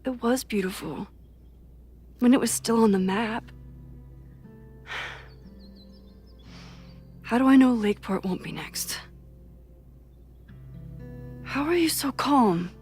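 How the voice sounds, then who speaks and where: A young American woman in a naturalistic video game drama. Her voice sits fairly high, and she is wry, self-doubting and weighed down by guilt. Soft music runs behind her. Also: expressive, slow pace, long pauses. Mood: guilty, rueful. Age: young adult. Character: anxious, guilt-ridden young woman with time-rewinding powers. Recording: music under the voice.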